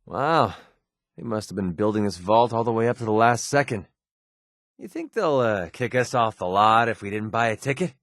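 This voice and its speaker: A man's voice: lowish voice, animated, moderate pace, fairly bright tone, no echo, clean studio sound. An actor playing a sardonic young male mercenary in a video game, with an American accent and a casual, grumbling way of talking. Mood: watchful. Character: wry young male mercenary sniper in a post-apocalyptic wasteland. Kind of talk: video game companion dialogue.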